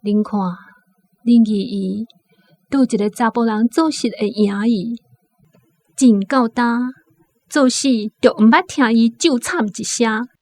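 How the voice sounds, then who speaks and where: Radio play mother, Taiwanese accent, admonishing and practical, unhurried higher voice. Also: a little mellow. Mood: matter-of-fact, admonishing. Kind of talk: radio drama acting.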